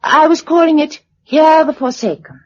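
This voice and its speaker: A woman acting in a British radio drama as a spirited, wry young novelist surviving a catastrophe, with a light, expressive manner and a British accent. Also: sits high, moderate pace, natural voice. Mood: wry, amused. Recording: dull lo-fi radio recording.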